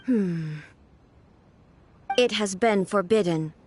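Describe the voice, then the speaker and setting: A woman's voice: mid-range voice, slow, background noise, lightly acted. A woman playing an aloof, commanding deity ruler in a video game, speaking formally and solemnly. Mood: flat, withholding. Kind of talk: video game character acting.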